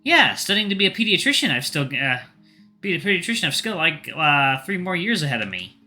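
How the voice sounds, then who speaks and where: A man's voice: on the high side, sing-song, a little fast, bright, forceful tone, soft background music, pitched above the performer's own voice, exaggerated voice. A man voicing an awkward young woman in her twenties, a student daughter in an audio story, hesitant and a little defensive.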